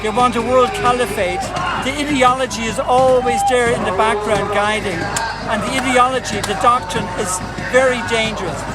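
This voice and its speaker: An older man with a high-pitched voice talks earnestly outdoors at a street protest. He makes his points emphatically and raises his voice over the noise. Loud music and a dense crowd fill the background. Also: fairly even intonation, normal speed, rough recording. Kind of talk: street interview.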